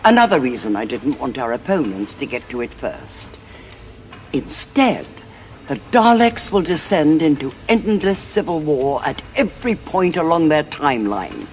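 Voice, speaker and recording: Cold, swooping war strategist. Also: female voice, sits low, big pitch swings, darkish tone, a little hiss or hum. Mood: fanatical. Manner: measured, imperious, precise. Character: cold, calculating senior Time Lord woman, ruthless war strategist. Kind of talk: audio drama acting.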